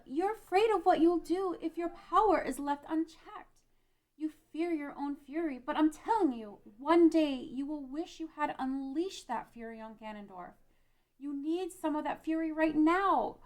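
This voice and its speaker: A woman's voice: high voice, moderate pace, a little mellow, close to an everyday voice. A woman playing a compassionate, encouraging young heroine in an amateur fantasy table read, speaking earnestly. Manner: earnest, consoling.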